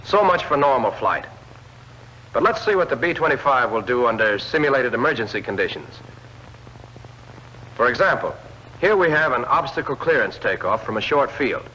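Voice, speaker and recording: A man reads scripted instructional narration for a 1940s military training film, clearly addressing the trainee directly. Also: medium pitch, lively intonation, normal speed, long pauses, sharp tone, a little hiss or hum. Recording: old film soundtrack, dull lo-fi band.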